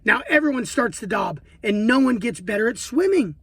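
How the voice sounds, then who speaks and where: Playful male storyteller, slightly husky. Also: very high voice, animated, moderate pace, a bit bright, no echo. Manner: playful, chatty, asks listeners questions. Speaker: children's book reader. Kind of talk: animated read-aloud storytelling with asides to young children.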